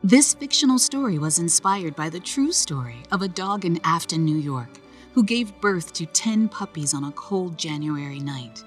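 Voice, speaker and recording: A woman reading a children's story aloud in a slow, gentle storytelling manner. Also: on the low side, lively intonation, soft background music. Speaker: narrator. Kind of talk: children's read-aloud narration.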